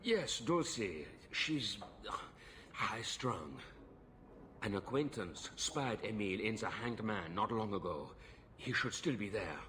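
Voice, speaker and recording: A man's voice: lowish voice, animated, takes it slowly, a bit bright, a little hiss or hum. An actor playing an anxious, exasperated middle-aged nobleman and father in a video game, formal and earnest. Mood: weary, apologetic.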